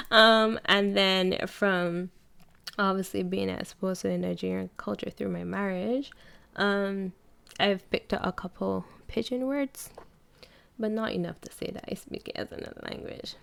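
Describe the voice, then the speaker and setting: A woman's voice: mid-range voice, vocal fry, fairly bright tone. A young adult woman from Barbados with a Barbadian accent, hosting her own podcast in a chatty, casual way with frequent laughter. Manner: chatty, laughs often, self-correcting. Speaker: podcast host. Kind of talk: casual solo podcast chat.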